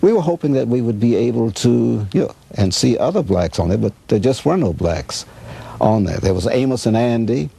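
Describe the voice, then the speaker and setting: Gravelly, husky older American man, reminiscing. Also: medium pitch, lively intonation, warm tone. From Chicago, United States. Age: 70s or older. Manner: halting, searching recollection. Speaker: radio veteran being interviewed. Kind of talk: reminiscing interview.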